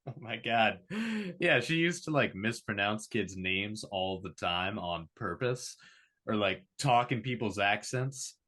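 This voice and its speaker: A man's voice: lowish voice, animated, slow pace, pushed and bright, exaggerated voice. A man reading the part of an awkward, privileged young heir in a drama table read, talking in a casual, rambling way. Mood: amused, casual.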